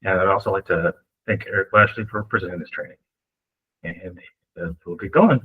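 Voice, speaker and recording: Casting: low-voiced male moderator, casual welcome, sounds like a phone line. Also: fairly quick, breathy edge. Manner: brief, casual welcome with fillers. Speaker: webinar moderator. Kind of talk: opening a webinar. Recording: phone-like thin audio.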